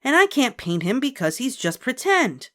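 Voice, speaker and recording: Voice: big pitch swings, normal speed, fairly bright tone. Ramona, a shrieking little girl. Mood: stubborn. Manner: shrill, screaming and pleading. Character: small stubborn preschool girl who howls. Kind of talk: audiobook character voice.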